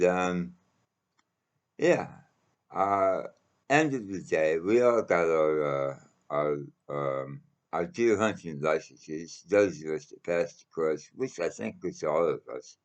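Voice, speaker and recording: A man telling a casual personal anecdote in a halting, rambling way, pausing often as he goes. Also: low-pitched, animated. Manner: halting, rambling delivery with frequent pauses. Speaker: storyteller.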